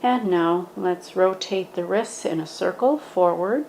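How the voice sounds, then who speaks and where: A woman gently guiding a standing yoga meditation, speaking in a calm, instructive way. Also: on the low side, unhurried, gravelly, slight background noise.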